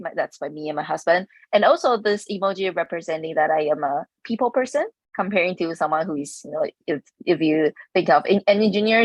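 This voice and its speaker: A woman from Taiwan, a tech CTO, introducing herself earnestly and a little haltingly over a video call. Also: on the low side, no room sound. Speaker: CTO, panelist. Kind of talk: self-introduction on a panel.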